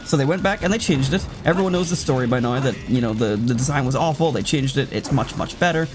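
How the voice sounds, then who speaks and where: A man's voice: mid-range voice, quick pace. A man giving casual, rambling game commentary, chatting to the game as he plays. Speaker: let's player commentating a game. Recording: loud game music behind voice. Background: video game music.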